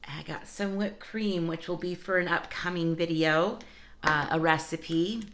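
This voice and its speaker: A woman vlogger chatting casually to her viewers, hoarse from a cold and losing her voice. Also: fairly low pitch, measured pace, a bit bright, slight background noise. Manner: chatty, talks straight to viewers with asides. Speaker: vlogger showing a grocery haul. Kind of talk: casual chatty vlog talk.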